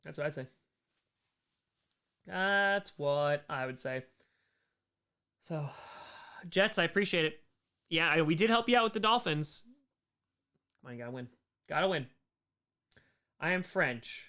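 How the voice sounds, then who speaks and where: An American man hosting a casual livestream Q&A, chatting in an animated way and repeating short phrases for emphasis. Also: a little high, measured pace, pauses often. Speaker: livestream host answering chat questions.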